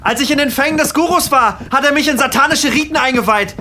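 A man playing an excitable, melodramatic young adventurer with theatrical, exclamatory delivery in an audio comedy. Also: very high voice, fast talker, slight background noise. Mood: urgent, excited. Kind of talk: amateur audio comedy acting. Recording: loud music bed.

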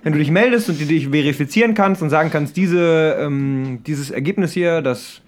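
A young man chatting casually as a podcast co-host, explaining things in a halting, rambling way. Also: fairly high pitch. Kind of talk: casual chat among friends.

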